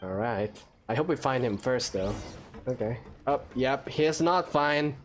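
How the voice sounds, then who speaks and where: Slow-paced, expressive male gamer. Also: medium pitch, crowd noise. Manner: short muttered reactions. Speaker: gaming video host. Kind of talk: casual playthrough commentary. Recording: game music behind the voice.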